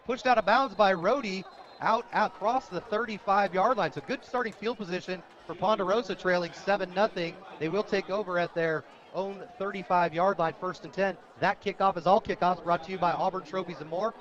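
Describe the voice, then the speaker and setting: A man calling live high school football play-by-play for radio, describing each moment in detail. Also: brisk. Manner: descriptive, rising to shouts on big plays. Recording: dull, lo-fi radio broadcast.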